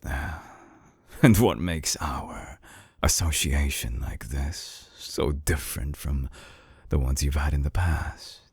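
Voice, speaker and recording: An actor playing a suave, obsessive villain scientist in an intimate binaural audio drama, teasing and menacing, with a husky voice that slips into breathy whispers. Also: male voice, very deep voice, a little mellow, dry recording, clean studio sound, full character voice. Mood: smooth, persuasive. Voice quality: husky, gravelly. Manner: slow, theatrical, teasing, sliding into breathy whispers. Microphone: close binaural microphone.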